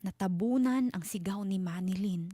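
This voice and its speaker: A woman narrates a scary story in a dark, mellow voice of medium pitch. Her phrasing is deliberate and full of suspense, and the sound is very dry and close. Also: no echo. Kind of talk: dramatic scripted horror narration.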